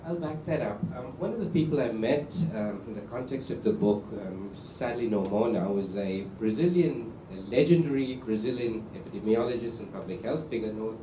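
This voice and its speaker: A mid-pitched man recounts a story at a public book talk, hesitant and sprinkled with ums. He is heard from a distance in an echoey lecture hall, with clear background noise. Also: lively intonation, normal speed, few pauses. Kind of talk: anecdotal public discussion.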